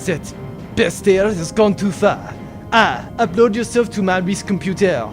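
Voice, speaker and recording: A man's voice: high voice, expressive, measured pace, over music. A man playing a fussy, geeky spaceship engineer in a comic audio drama. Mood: indignant.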